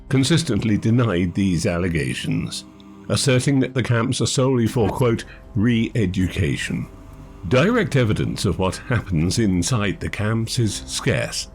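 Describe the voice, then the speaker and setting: Slightly low-voiced male documentary narrator. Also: darkish tone. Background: background music.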